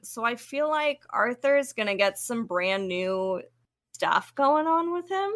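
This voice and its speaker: A woman's voice: fairly high pitch, expressive, slow pace. A woman co-hosting a podcast in casual, informal fan chat. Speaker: podcast co-host.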